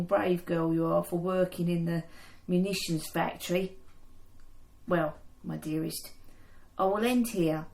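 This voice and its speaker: A woman's voice: lowish voice, darkish tone. An actress playing a loving, anxious young woman on the home front in a wartime radio play. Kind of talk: period radio drama acting.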